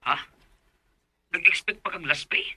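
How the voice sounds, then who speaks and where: A man's voice: high-pitched, measured pace, sharp tone, faint music behind, exaggerated voice. A man playing a scornful, bullying office manager in a Bisaya radio drama, mocking and curt, with a Cebuano Filipino accent.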